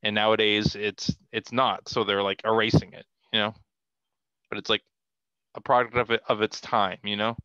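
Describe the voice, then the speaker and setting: Bright, clear-voiced middle-aged man. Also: lowish voice, little pitch movement, moderate pace, no room sound, highs cut off. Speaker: podcast guest, comic artist. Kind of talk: casual conversational interview. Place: small cluttered room at home, video call. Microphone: headset on a video call.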